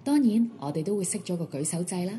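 A woman's voice: fairly low pitch, expressive, quick pace, dark tone. A woman with a Hong Kong Cantonese accent reading a scripted pre-show announcement for a radio drama. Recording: faint music under the voice. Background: faint background music.

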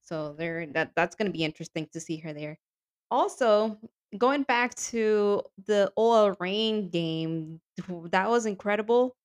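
A woman co-hosting a podcast in casual chat, enthusiastic and laughing often, her voice a little raspy from yelling. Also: mid-range voice, unhurried. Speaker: podcast co-host. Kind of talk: casual chat about women's soccer.

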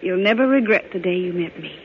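An actress playing a doting, coquettish young society bride in a 1940s radio drama, cooing and affectionate. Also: female voice, fairly low pitch, fast talker, natural voice. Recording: tinny, faintly noisy old radio recording.

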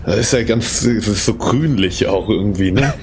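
A man with a fairly low voice leads an improvised audio play as podcast host. He talks colloquially, throwing in exclamations, with clear vehicle-like noise behind him. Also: moderate pace. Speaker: podcast host and game leader. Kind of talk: improvised mini audio play, casual banter.

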